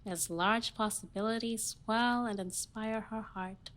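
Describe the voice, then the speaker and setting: Woman playing young wife in an audio play, wry n distant, really slow delivery, bright voice. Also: fairly high pitch, steady tone. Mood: dry, dismissive. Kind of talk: stage play audio reading.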